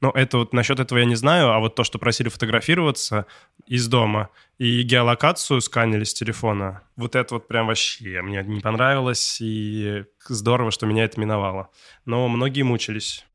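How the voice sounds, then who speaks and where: A man's voice: mid-range voice, fairly even intonation, brisk, a bit bright. A man talking casually as a co-host in a podcast discussion.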